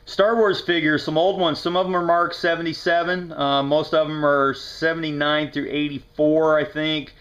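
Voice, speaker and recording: A man's voice: on the high side, flat delivery, moderate pace, few pauses, a bit bright. An American man chatting casually to the camera in a laid-back, slangy, folksy way as he shows off his haul. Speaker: reseller showing yard sale finds. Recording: dull lo-fi camera audio.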